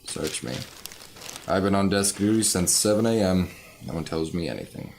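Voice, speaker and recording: Noir radio drama: male actor as bored, curt 1950s desk officer, deep and surly, with clicks and clear background noise. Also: moderate pace. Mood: grumpy. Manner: curt, grudging, deadpan.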